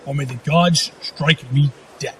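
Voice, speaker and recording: An actor playing a magnanimous noble duke in a fantasy radio drama, measured and formal. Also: male voice, a little high, slight background noise, natural voice. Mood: solemn. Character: magnanimous noble duke, dignified veteran lord.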